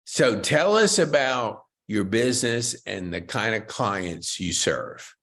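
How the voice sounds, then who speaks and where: A man's voice: on the low side, expressive, slow, a bit bright. A man hosting a podcast interview, chatting in an enthusiastic, folksy way with golf banter. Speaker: podcast host.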